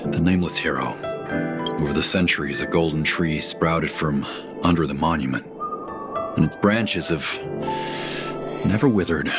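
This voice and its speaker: A reverent foreign envoy from a video game. He tells things formally and earnestly in a very low voice, with loud music underneath. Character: reverent, courteous male ambassador and monument keeper.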